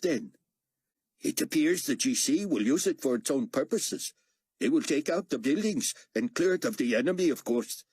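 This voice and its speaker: A man's voice: on the high side, darkish tone, slightly rough, no room sound, pitched above the performer's own voice. A man narrating an audiobook voices a deferential male flight steward who is overly polite and apologetic.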